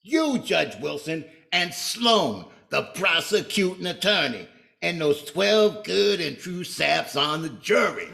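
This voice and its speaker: High, creaky, menacing gangster. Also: male voice, lively intonation, takes it slowly, sharp tone, heavily acted. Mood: defiant. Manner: threatening, slangy tough-guy talk. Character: vengeful, menacing gangster condemned for murder. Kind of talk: old-time radio crime drama acting.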